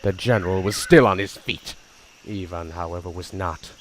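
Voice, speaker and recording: A man's voice: low voice, moderate pace, a little hiss or hum, natural voice. A man acting as a hunted fugitive, narrating his desperate flight in an intense, suspenseful radio drama style. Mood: tense. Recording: loud music and insects behind voice.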